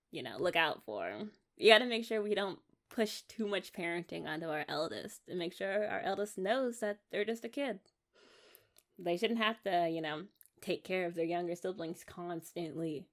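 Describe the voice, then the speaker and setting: A woman's voice: medium pitch, lightly acted. A young American woman playing an eager, affectionate wife in an intimate ASMR romance roleplay, rambling and coaxing in a giggly way. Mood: tender, hopeful.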